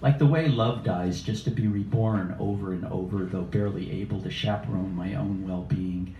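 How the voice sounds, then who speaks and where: A man in his sixties reading poetry live at an open mic in a measured, deliberate way, with casual asides. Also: deep voice, steady tone, highs cut off. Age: 60s. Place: small indoor cafe open mic. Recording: roomy, distant cafe live stream with noise.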